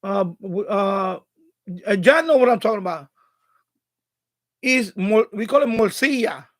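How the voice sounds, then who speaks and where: High-pitched New man. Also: animated, a little slow, dry recording. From Bronx, New York City, USA. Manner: conversational, repeats himself, thinks aloud. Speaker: live stream host. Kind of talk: casual rambling live-stream chat.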